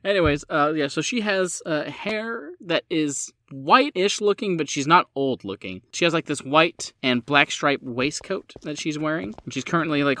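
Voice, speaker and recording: A man's voice: a little high, pushed and bright, slight background noise. A man narrating a tabletop game as game master in an informal, improvised, conversational way.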